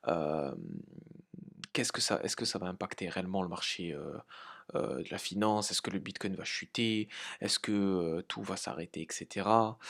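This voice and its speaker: A man's voice: sits low, gravelly. A man from France hosting a crypto trading channel, talking casually with many hesitations and light laughs. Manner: halting, many fillers, informal swearing. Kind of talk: casual market analysis.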